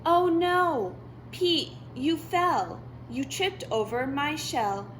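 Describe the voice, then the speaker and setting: A woman's voice: sits high, drawn-out words, a bit bright, a little hiss or hum, pitched above the performer's own voice, exaggerated voice, rough recording. A woman voicing a friendly picture-book turtle who is easily dismayed, in a sing-song manner.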